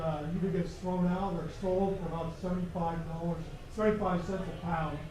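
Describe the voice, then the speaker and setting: A man in the audience asks a question in a high voice, pausing with small hesitations. He is far from the mic in a very echoey hall, with clear background noise around him. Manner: plain, hesitant with uhs. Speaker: audience member asking a question.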